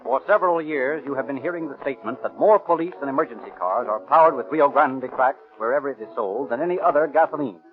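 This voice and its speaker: A man's voice: medium pitch, animated, quick pace, few pauses. An American man announcing and narrating from a script in a formal, declamatory 1930s radio style. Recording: tinny 1930s radio recording, music behind.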